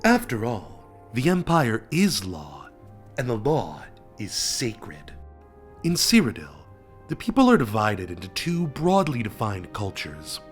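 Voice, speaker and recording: A man's voice: fairly low pitch, wildly swooping pitch, soft background music. Stuffy-voiced man narrating lore. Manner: enthusiastic storytelling with dramatic pauses. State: stuffy from a cold. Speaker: video essay host.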